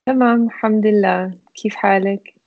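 A woman's voice: mid-range voice, steady tone, very fast. A woman from California answering simple interview questions as a language student, over a thin phone-like connection. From California, United States.

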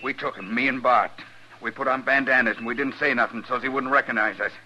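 An actor playing a hard, defensive rancher in an old-time American radio western, with folksy rough speech and a raspy voice. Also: male voice, mid-range voice, fast, sharp tone, a little hiss or hum. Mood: alarmed, desperate. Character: hard, defensive male rancher turned desperate father. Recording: dull vintage radio recording.

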